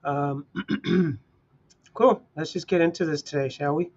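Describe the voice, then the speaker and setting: A man's voice: on the high side, fairly even intonation, measured pace, darkish tone, lo-fi sound. A man hosting a livestream read-along, speaking in an informal, unpolished amateur way. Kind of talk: casual livestream talk and reading articles aloud.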